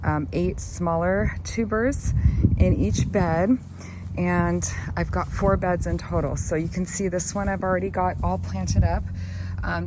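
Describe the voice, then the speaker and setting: A hoarse woman who has partly lost her voice, chatting loosely in a garden vlog with lots of fillers. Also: fairly low pitch, noisy background. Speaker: gardening vlogger. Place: backyard garden, outdoors.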